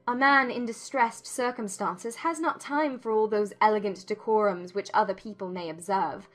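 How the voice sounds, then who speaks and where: A woman voicing a witty, defiant young gentlewoman in a dramatized classic novel, speaking in arch, formal, exclamatory phrases. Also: mid-range voice, normal speed, soft background music, lightly acted. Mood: arch, challenging.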